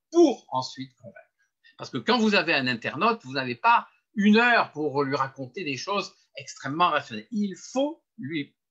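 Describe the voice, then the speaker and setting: A man's voice: on the high side, sharp tone, husky. An older man from France giving a webinar presentation on marketing, lecturing emphatically with self-deprecating humor. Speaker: webinar speaker. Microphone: webinar computer mic. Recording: muffled lo-fi webinar audio.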